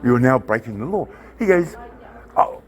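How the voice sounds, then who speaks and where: A New man arguing his case loudly and insistently with police in a government office. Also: mid-range voice, sing-song, moderate pace. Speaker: member of the public confronting police. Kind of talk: heated argument. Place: government office lobby, indoors.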